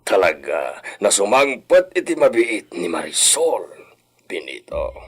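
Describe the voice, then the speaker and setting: A man playing a proud, wealthy older hacienda master in a radio drama, husky and theatrical, sprinkling in Spanish words. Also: lowish voice, wildly swooping pitch, a little slow, a bit bright, full character voice. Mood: scheming, determined.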